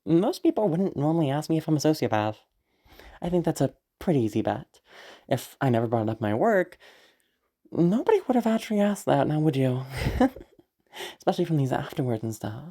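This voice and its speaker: A woman with a low, deep voice, speaking casually in an afterword. She is a little sick, her throat is giving out, and she rambles with frequent short laughs. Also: very animated, brisk, mellow. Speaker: audio roleplay creator. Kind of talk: casual spoken afterword, explaining.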